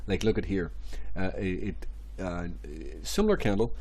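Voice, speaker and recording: A man, hoarse and stuffy from a cold, rambling through crypto chart analysis with many repeats and fillers. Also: low voice, very animated, moderate pace, darkish tone. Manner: rambling, repeats phrases, many ums and restarts. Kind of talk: casual screen-share market commentary.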